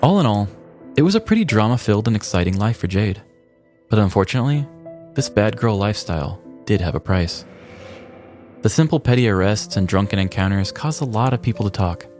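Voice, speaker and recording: A man's voice: fairly low pitch, lively intonation, brisk, a little mellow, faint music behind. A man hosting a podcast, reading scripted comedic narration in a mock-dramatic, tongue-in-cheek storytelling manner. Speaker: podcast host.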